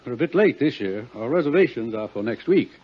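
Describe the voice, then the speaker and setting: Man playing wealthy gentleman, husky, animated pitch, courteous, urbane, formal, 1950s radio drama, muffled old recording. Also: fairly quick, close to an everyday voice. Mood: polite, cool. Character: wealthy, urbane, politely cool married gentleman.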